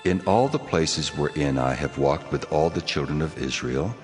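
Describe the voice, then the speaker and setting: The Lord God speaks from an extremely deep, rumbling register. Each line is solemn and measured, rolling out through a long, cavernous reverb. Soft music sits behind him in this audio drama. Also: male voice, animated. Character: the Lord God, vast and commanding. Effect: long hall reverb. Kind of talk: dramatized scripture reading.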